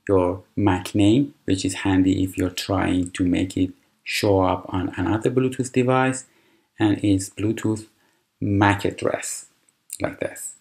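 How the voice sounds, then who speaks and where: A man whose first language is Persian, calmly walking through a computer tutorial with fillers and restarts. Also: low-pitched, gravelly. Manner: unhurried, explanatory, with frequent fillers and restarts. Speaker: tutorial presenter. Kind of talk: screen-recorded software tutorial.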